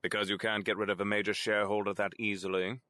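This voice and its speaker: A man's voice: fairly low pitch, level tone, fast, sharp tone, dry recording. A man playing a sardonic, pompous older corporate executive in an audio drama, with theatrical delivery.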